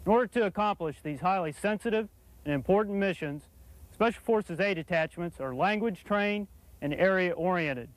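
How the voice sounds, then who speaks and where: A man's voice: sits high, normal speed, dry recording, rough recording. A man giving a formal, scripted military briefing in a rehearsed, declarative manner. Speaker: military presenter introducing his unit.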